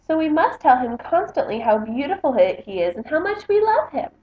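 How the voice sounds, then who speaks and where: A woman's voice: high-pitched, sing-song, brisk, roomy sound, highs cut off, voice pushed up. A woman reading aloud as a doting, patient mouse parent in a fond, singsong manner. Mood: earnest.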